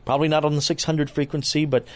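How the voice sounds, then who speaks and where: A man answering as an interview guest, explaining things in an earnest, measured, conversational way. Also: a little high, level tone. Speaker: guest, transmitter preservation enthusiast.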